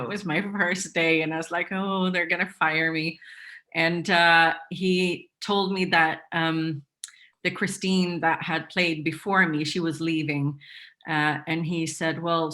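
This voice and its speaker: A woman's voice: fairly low pitch, bright, forceful tone. A woman from Sweden, not a native English speaker, chatting as an interview guest with many fillers and restarts. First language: Swedish. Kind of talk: casual interview chat.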